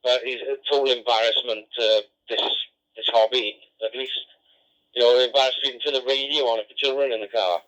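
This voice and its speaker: A man's voice: medium pitch, fairly even intonation, brisk, fairly bright tone. A man speaking as an amateur radio operator, disapproving and exasperated, over a lo-fi digital radio transmission. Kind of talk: radio argument. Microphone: radio transceiver microphone.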